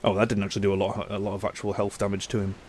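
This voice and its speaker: A man's voice: fairly low pitch, completely flat delivery, racing, darkish tone, a little hiss or hum. A young man with a stuffy nose from a cold, chatting easygoingly as he plays a game and thinks aloud. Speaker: gaming channel host. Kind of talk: casual gameplay commentary.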